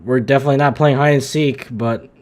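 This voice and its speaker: A woman's voice: very deep voice, fairly even intonation, fast, a little mellow. A woman live-streaming a game, casually commenting and reading along with the dialogue. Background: game music.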